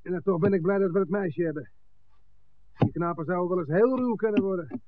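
Guy doing rough henchman, slightly high voice, old radio drama, thin hissy audio. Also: a little fast, narrow, tinny audio, natural voice. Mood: businesslike, uneasy. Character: rough henchman, gruff and grudging, serving a boss. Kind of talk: 1960s Dutch radio drama acting. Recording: thin, band-limited old radio recording, hiss.

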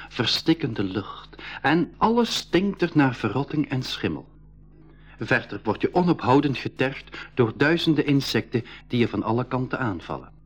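An actor narrating a radio play for schoolchildren as a man recounting his jungle adventure, with a husky voice and descriptive delivery. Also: medium pitch, natural voice. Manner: descriptive storyteller addressing young listeners. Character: male expedition member recounting a jungle adventure. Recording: dull 1980s radio recording.

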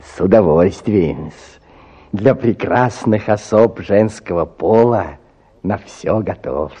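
Slow, mellow, fussy village pharmacist. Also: male voice, low-pitched, husky, full character voice. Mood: affected gallantry. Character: officious, fussy male village pharmacist, smarmily gallant to women. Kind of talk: radio play acting.

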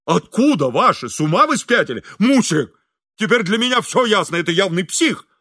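A man acts out a henpecked, excitable male engineer from a comic storybook. The voice is high and bright, swooping from melodious pleading calls to indignant shrieks. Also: a little slow. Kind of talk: audiobook character acting.

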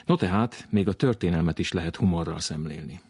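A man with a husky voice, presenting a radio programme from a script with touches of humour. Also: low-pitched, a little mellow, no room sound, clean studio sound. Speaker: radio host. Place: radio studio. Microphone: close studio microphone.

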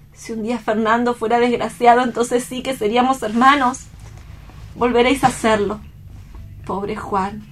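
Radio play heroine: tender, despairing young woman, poetic and very unhurried, high register. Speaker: radio drama actress. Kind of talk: melodramatic radio play acting.

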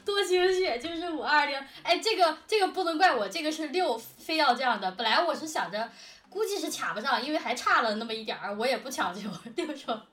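Female host, higher-voiced, giggly and teasing, informal interview in a roomy space. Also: lively intonation, fairly quick, fairly bright tone. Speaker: host interviewing production team. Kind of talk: casual interview chat. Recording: roomy, distant microphone, music behind. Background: background music.

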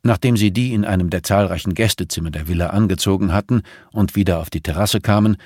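A man with a gravelly, husky voice reading a thriller audiobook as a dramatic narrator. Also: low-pitched, quick pace, darkish tone, no echo, studio quality.

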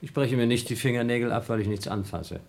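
An actor playing a cynical, restless astronaut in a radio play, talking with dry, needling irony. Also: male voice, medium pitch, fast, creaky, darkish tone, close to an everyday voice. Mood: ironic. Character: cynical, restless male astronaut trapped in a station.

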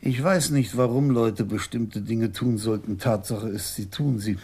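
An actor playing an older police commissioner in a German radio crime drama, measured, formal and probing. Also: male voice, on the low side, warm tone, slightly rough. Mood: firm, logical. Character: composed, courteous but probing senior police commissioner.

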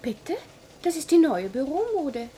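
An actress playing a cheeky, flippant, fashionable secretary in a German science-fiction radio play. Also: female voice, high voice, big pitch swings, measured pace, mellow. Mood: cheeky, breezy. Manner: flippant, matter-of-fact. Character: breezy, cheeky, modish office secretary. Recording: vintage radio recording, faint noise.

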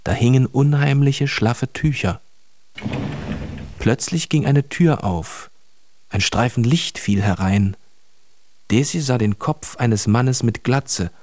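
A man narrating a children's radio story in a measured storytelling manner. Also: mid-range voice, darkish tone.

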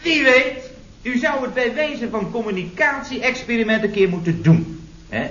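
An actor playing an earnest, preachy young idealist employee in a radio comedy, talking in unctuous slogans. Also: male voice, very high-pitched, animated, normal speed, a bit bright. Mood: smugly idealistic. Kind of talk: late-1960s Dutch radio comedy acting. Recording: muffled, roomy, hissy vintage radio recording.

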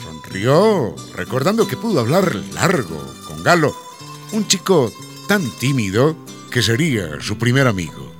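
An actor narrating a radio soap opera as its storyteller, in a scripted style. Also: male voice, medium pitch, huge pitch swings, measured pace, faint music behind. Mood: storytelling.

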